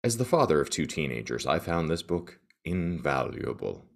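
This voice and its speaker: An earnest, worried middle-aged dad, played by a man in a comic reading. The voice is very low and creaky, with a mock-solemn, heartfelt delivery. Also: big pitch swings, normal speed, full character voice. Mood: earnest concern.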